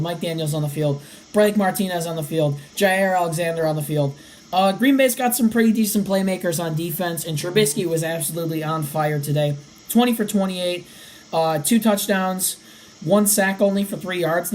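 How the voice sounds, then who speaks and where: A young man around eighteen hosting a casual sports podcast, his voice slightly raspy, rattling off game stats. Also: a little high, darkish tone, a little hiss or hum, low-quality recording. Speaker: podcast host.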